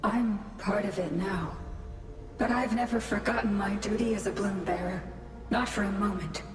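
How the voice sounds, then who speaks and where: A woman playing an earnest, sorrowful shadow of a woman in a video game, speaking in a solemn, measured way. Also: medium pitch, natural voice. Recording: roomy echo, music behind.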